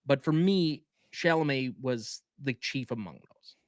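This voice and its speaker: A man's voice: mid-range voice, animated, unhurried, dry recording, clean studio sound. A man co-hosting a podcast in casual chat, giving opinions in an emphatic way. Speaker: podcast co-host.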